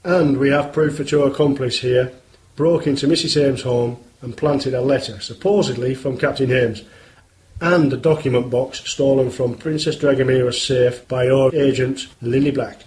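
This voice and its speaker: A man playing a firm, measured police inspector in an amateur radio play. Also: mid-range voice, steady tone, darkish tone, slight background noise, lightly acted, low-quality recording. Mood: stern. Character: stern male police inspector.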